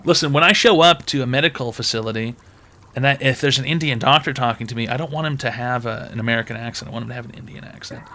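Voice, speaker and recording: A man's voice: medium pitch, quick pace, bright, forceful tone. A man hosting a call-in advice show, brash and mocking with crude, profane jokes.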